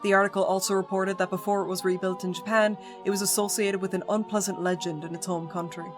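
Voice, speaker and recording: A woman streamer reading a visual novel's text aloud in an even voice, breaking off for playful asides to her viewers. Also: mid-range voice, fast. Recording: music playing clearly behind the voice.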